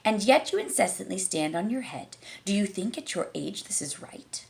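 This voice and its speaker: Young man from a nursery poem, sing-song and lively, recited by a woman. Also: medium pitch, normal speed, vocal fry. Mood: questioning.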